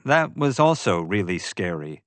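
A man's voice: on the low side, big pitch swings, measured pace, no room sound. A man voicing an earnest, uneasy boy in an audiobook, frank and questioning. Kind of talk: audiobook character voice.

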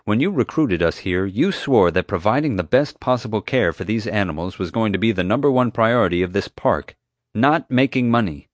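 A stern father with a low voice and a blunt, disapproving way of talking, as a male narrator reads him. His lines still carry a lively lilt, recorded dry and close. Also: brisk, no room sound, close to an everyday voice. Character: stern principled father, adult man.

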